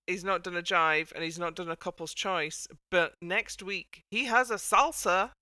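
Female podcast host, smooth and forward, dryly recorded, wry and irreverent in casual panel chat. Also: lowish voice, normal speed, sharp tone, no room sound, studio quality.

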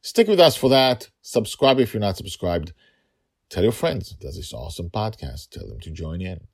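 A middle-aged man narrating a story podcast as its host and author, deliberate, with dramatic pauses and emphatic repetition. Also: sits low, big pitch swings, creaky, breathy edge.

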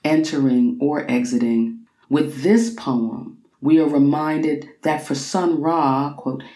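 A woman reading literary, scholarly prose aloud in a measured, deliberate way, with expressive phrasing and drawn-out words. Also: low-pitched, reverberant. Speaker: reader of a book excerpt.

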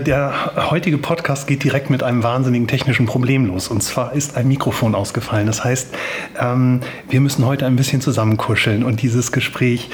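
A man's voice: mid-range voice, slight background noise. A man hosting a podcast, talking casually with lots of filler sounds while he has a cold. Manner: chatty, many filler sounds. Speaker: podcast host. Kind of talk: casual podcast intro and interview.

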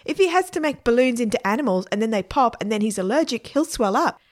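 A woman's voice: a little high, lively intonation, fast, a little mellow, voice pushed up, natural voice. A woman voicing a curious, argumentative young boy in a storybook, full of insistent questions. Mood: incredulous.

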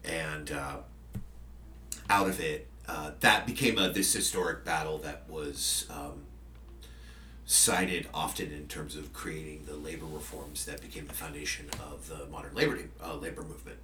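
Deep-voiced man rambling through podcast stories. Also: steady tone, moderate pace, sharp tone, echoey room, far from the mic, a little hiss or hum. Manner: rambling, many fillers and restarts. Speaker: podcaster and journalist. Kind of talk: casual conversational interview.

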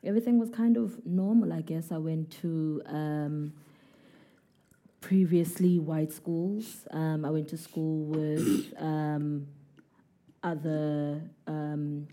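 A South African woman in her twenties, a guest in an interview, who answers in a relaxed, hesitant way with many fillers. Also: deep voice, slow pace. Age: 20s.

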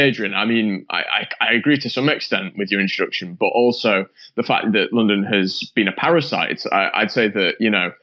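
A man on a political panel talks in a lowish voice, building his points slowly through hesitant restarts. The sound is dull and lo-fi. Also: pushed and bright. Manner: thoughtful, hesitant restarts with repeated "I think". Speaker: panel guest. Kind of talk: political discussion.

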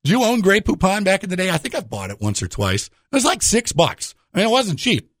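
A man's voice: high voice, very animated, a little fast, a bit bright, dry recording, studio quality. A man hosting a radio show with a jokey conversational monologue, putting rhetorical questions straight to the listener.

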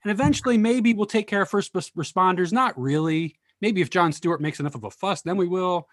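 A man's voice: high voice, brisk, fairly bright tone, no room sound, studio quality. A man moderating a panel discussion, asking thoughtful questions and speaking frankly about politics. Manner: probing, thoughtful, politically outspoken. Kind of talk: post-reading panel discussion.

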